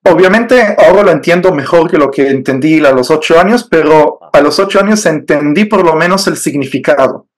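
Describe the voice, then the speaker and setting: A man from Israel whose first language is Hebrew, speaking in a measured, hesitant way with fillers as an interview guest telling his faith story. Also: on the high side. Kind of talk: personal faith testimony.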